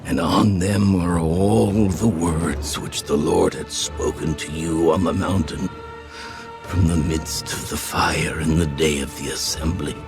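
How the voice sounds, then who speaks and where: An actor playing a solemn elderly prophet who rebukes his people in a grave, deliberate, oratorical way for a dramatized audio Bible. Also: a bass voice, steady tone, music in the background. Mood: solemn recounting.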